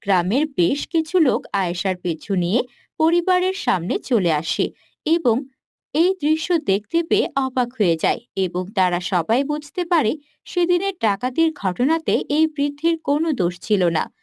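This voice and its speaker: Casting: woman narrator, scripted animated tale, high pitch, expressive swings in tone. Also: measured pace, dry recording, clean studio sound. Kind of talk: scripted cartoon story narration.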